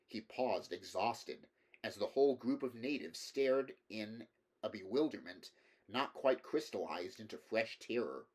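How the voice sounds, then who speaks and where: Male audiobook narrator, fairly low pitch, scripted literary horror reading. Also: animated, a little slow. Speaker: audiobook reader.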